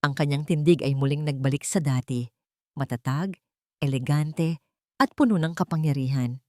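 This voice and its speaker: A woman's voice: sits low, normal speed, a little mellow, dry recording, studio quality. A woman narrating a scripted story in an earnest, sentimental and formal way. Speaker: storyteller and channel host.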